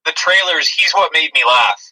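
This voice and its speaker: A man with a fairly high, extremely bright and sharp voice co-hosts a film podcast. His talk is casual and jokey, and the sound is thin, like a radio or phone. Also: animated, normal speed. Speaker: podcast co-host.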